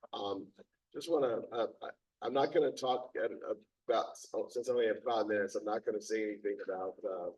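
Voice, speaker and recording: Hoarse man speaking haltingly, distant mic. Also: fairly low pitch, darkish tone. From Maryland, USA. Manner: halting, many ums and restarts. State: hoarse, lost his voice. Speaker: circuit court judge. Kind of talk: community meeting talk. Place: meeting room.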